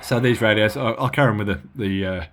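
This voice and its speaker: Deep-voiced man, casual hobby demo, halting delivery, faint hiss. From Midlands of England. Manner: halting, many fillers and restarts. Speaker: radio hobby vlogger.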